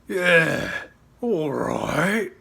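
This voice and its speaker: Man voicing a loud, terse, huge war dragon. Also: a little high, expressive, very slow, gravelly, slightly rough, voice pushed up, exaggerated voice. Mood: reluctant agreement. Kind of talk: humorous storybook reading.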